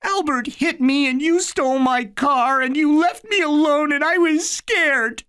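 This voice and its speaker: A woman's voice: expressive, a little slow, a bit bright. A woman voicing a small, scared young boy who whines and sounds close to tears. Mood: whiny. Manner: whiny, tearful, high.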